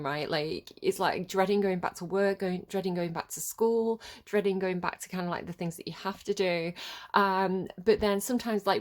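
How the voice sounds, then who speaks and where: A middle-aged woman with a slightly congested voice from a cold, hosting a casual, rambling tarot reading in a hesitant, conversational way. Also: medium pitch. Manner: hesitant, conversational, loosely wandering. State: has a cold. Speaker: tarot reader and video host.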